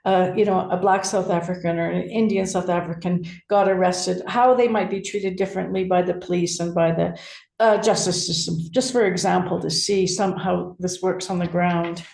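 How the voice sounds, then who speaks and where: A woman hosting an interview, asking gentle, probing questions attentively. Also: fairly low pitch, steady tone, normal speed, vocal fry. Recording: echoey room sound.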